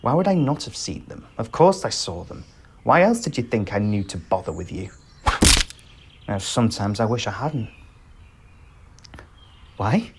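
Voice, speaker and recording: Man playing a wry, teasing bandit protector, lively intonation. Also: on the low side, measured pace, long pauses, background noise. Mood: bantering, fond.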